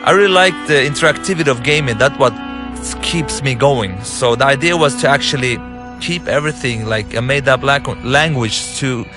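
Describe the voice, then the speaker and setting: A man from Lebanon, an Arabic native speaker with a Lebanese accent, gives a halting developer commentary as the game's writer-director, often searching for his words. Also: mid-range voice, music in the background.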